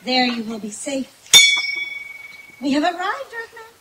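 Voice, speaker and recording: A woman's voice: sits high, very animated, a little slow, heavy background noise. A woman playing an imperious, lovestruck young alien princess, with melodramatic urging in an old-time radio serial. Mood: admiring. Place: outdoor woodland stage at night.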